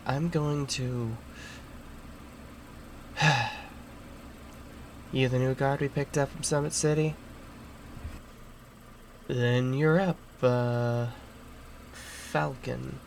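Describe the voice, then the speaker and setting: A man playing a gruff, weary prison transport guard in an audio roleplay, blunt and sardonic. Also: medium pitch, slight background noise. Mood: exasperated. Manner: blunt, sardonic, foul-mouthed.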